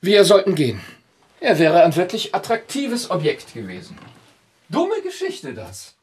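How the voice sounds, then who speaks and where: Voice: mid-range voice, huge pitch swings, measured pace, slightly rough, heavily acted. An actor in a radio play playing a dry, matter-of-fact assistant who takes notes in a clipped, businesslike way. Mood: dry regret. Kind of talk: German radio play acting.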